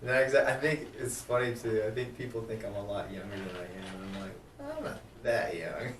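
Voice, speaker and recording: A man's voice: fairly low pitch, big pitch swings, moderate pace, roomy sound, recorded from a distance, noisy background. A man, a podcast guest who talks in an easygoing way and laughs often. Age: 30s. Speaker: podcast guest, sales manager. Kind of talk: casual chat.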